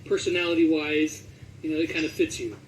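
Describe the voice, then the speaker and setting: A man's voice: on the high side, fairly even intonation, brisk, a little hiss or hum, poor audio. A man interviewing in a casual, chatty style. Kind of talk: casual chat.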